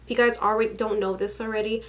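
A young woman in her twenties talks through a makeup haul in a casual, gushing way, peppering her talk with fillers and excited exclamations. She is recorded indoors in a small home room, sitting at a desk a little way from the camera. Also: fairly high pitch, fast. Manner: gushing, chatty, with many likes and exclamations. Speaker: beauty vlogger. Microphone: camera at desk distance.

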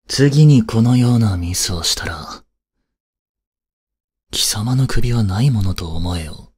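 An actor playing a cold, haughty young prince in an audio drama, with a husky, breathy voice and a curt, imperious manner. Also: male voice, lowish voice, animated, slow, a little mellow, exaggerated voice. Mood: stern, scolding. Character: cold, haughty young prince scolding and fretting over his maid. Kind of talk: Japanese audio drama acting.

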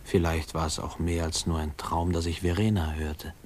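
A man's voice: low-pitched, flat delivery, moderate pace, a little mellow, lightly acted. An actor playing a grown man remembering his boyhood, narrating a 1950s radio drama in a reflective, lyrical way. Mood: melancholy, reflective. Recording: faintly noisy old radio recording.

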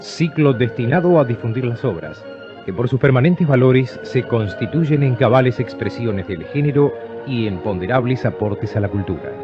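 A man's voice: mid-range voice, animated, moderate pace. A man announcing a programme formally, as a radio announcer in an archival broadcast. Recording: dull archival radio recording, loud music.

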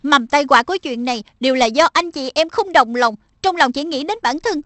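A woman's voice: sits high, lively intonation, brisk, fairly bright tone, no room sound. A woman voicing a small, preachy magical pet spirit in a cartoon dub, admonishing and instructive. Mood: stern, admonishing.

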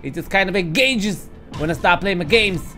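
A man's voice: high-pitched, expressive, moderate pace, bright, forceful tone. A man in his thirties giving casual, swearing gameplay commentary to viewers from a room at home. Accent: put-on Texas drawl. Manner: swears freely, talks to viewers, bursts of frustration. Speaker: gameplay streamer. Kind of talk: casual let's play commentary. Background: game music.

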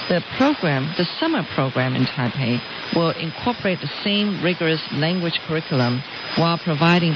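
Woman newsreader through heavy shortwave hiss. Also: low-pitched. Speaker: radio news presenter. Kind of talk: scripted news reading. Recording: hissy, noisy, dull shortwave radio reception. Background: loud static hiss.

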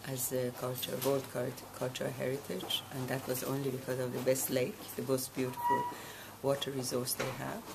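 A woman in her sixties, born in Iran, answering interview questions in a measured, deliberate way with frequent pauses. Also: rumbling low, gravelly, background noise. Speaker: guest, association president.

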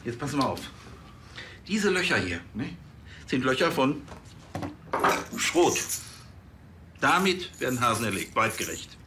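A man's voice: medium pitch, very animated, measured pace, long pauses, noisy background. An actor playing a fussy hunter's son who lectures pedantically, tagging his statements with little questions, in a TV crime comedy. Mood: indignant.

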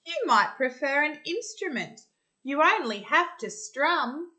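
A woman's voice: high voice, big pitch swings, unhurried, pushed and bright, pitched above the performer's own voice, heavily acted. An Australian woman voicing a tactful, encouraging lion drummer from a picture book, with an advising manner.

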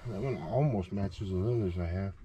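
A man explaining an old find in a laid-back, soft-spoken way while out in a field. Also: sits low, normal speed, a crowd in the background. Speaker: detecting partner. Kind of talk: casual explaining. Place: outdoors in a field. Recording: dull, noisy outdoor audio from off-mic.

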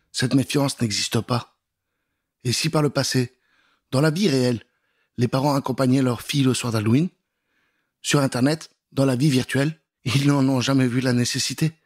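A middle-aged man narrating a spooky children's tale in a theatrical, playful way, speaking straight to the listeners on a close studio microphone. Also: mid-range voice. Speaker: storyteller, narrator. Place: dark studio booth. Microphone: close studio microphone with pop filter. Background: prominent music.

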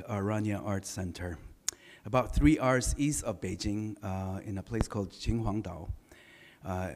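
A middle-aged man with a lowish baritone speaks at a podium microphone, relaxed and anecdotal, often pausing on "uh" and "um". His voice carries a long reverb from a large lecture hall. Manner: relaxed, anecdotal, many filler sounds. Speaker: architect giving a lecture. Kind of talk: conversational design lecture.

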